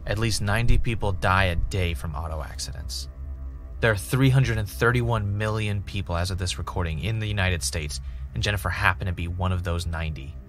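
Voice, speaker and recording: A man's voice: deep voice, a little fast, fairly bright tone, soft background music. A man playing a driven, uneasy podcaster investigating mysterious tapes, earnest and conversational in an audio drama. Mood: grim, suspicious. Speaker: podcast host.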